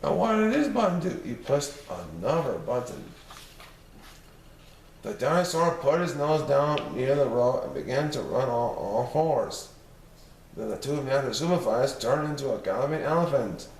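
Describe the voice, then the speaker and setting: A man's voice: medium pitch, lively intonation, unhurried, darkish tone. A man reading a children's story aloud as narrator. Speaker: storybook reader. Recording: roomy, distant mic, faint noise.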